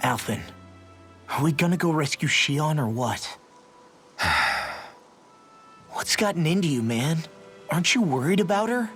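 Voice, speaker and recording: A man's voice: on the high side, animated, measured pace, long pauses, fairly bright tone, soft background music. A man voicing a brash, loyal young comrade in an English video game dub, talking bluntly and informally. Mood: exasperated.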